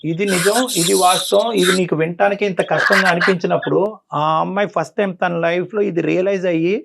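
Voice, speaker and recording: A man's voice: sits high, fairly even intonation, fast, gravelly, loud music behind the voice. A man acting as a doctor, counseling a caller on air in an earnest, persuasive way. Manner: earnest, persuasive, pleading repeatedly. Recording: dull lo-fi sound.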